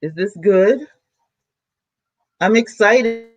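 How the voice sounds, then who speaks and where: A woman YouTuber, chatting excitedly to her viewers from home while she sets up a new microphone. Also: mid-range voice, very slow. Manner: chatty, excitable, talks to chat. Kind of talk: casual vlog chat to viewers. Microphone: camera mic, then a new plug-in USB mic.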